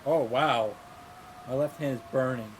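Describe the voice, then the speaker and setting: A man's voice: mid-range voice, measured pace, slight background noise, poor audio. A man reading a visual novel's first-person narration aloud in an earnest, dramatic way and commenting as he goes. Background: game music.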